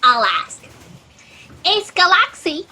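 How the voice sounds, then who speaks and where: A woman's voice: very high voice, sing-song, takes it slowly, heavily acted. A woman playing a chirpy, overeager fact-checking office assistant in a perky, theatrical style for a comic sci-fi audio drama. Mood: eager, officious. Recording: noisy video-call audio.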